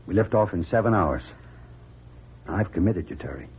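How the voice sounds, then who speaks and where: An actor playing an emphatic, commanding male astronaut commander in a radio drama, with a husky voice. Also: low-pitched, expressive, moderate pace, a little hiss or hum. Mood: serious. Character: male astronaut commander, husband, exasperated but steady. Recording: muffled radio drama recording.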